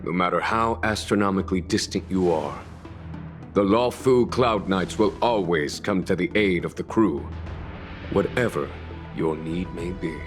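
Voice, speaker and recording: A low-voiced, dignified fantasy general. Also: male voice. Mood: solemn, ceremonial. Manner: formal, measured, ceremonial phrasing. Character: composed, courteous male military general of a fantasy fleet. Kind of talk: scripted game voice acting. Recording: background music.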